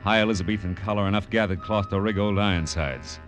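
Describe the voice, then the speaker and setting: A man's voice: lowish voice, fast talker, lightly acted. An American man playing a tough, world-weary private detective in an old radio noir drama, clipped and slangy, narrating and talking bluntly. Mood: brooding narration. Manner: clipped, hard-boiled, slangy. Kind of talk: 1940s-50s radio noir acting and first-person narration. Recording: vintage radio recording with music behind.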